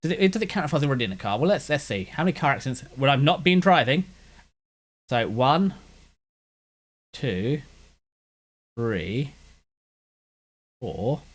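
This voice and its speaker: A man's voice: mid-range voice, big pitch swings, normal speed, long pauses. A man from West London with a London accent and a slight lisp, chatting casually and rambling, his words blurring together as he restarts his sentences. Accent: London English. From West London, England. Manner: meandering with frequent restarts. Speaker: anime channel host.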